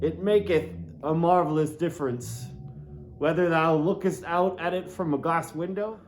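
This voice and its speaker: A man reading a classic novel aloud in a deliberate, dramatic way, lingering on words. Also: a little high, a little hiss or hum. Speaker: audiobook reader. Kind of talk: literary narration, theatrical reading of a classic novel.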